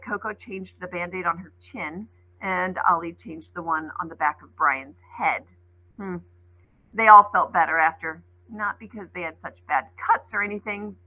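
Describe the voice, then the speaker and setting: A woman's voice: mid-range voice, lo-fi sound. A woman schoolteacher reading a children's story aloud expressively and explaining it plainly for young listeners.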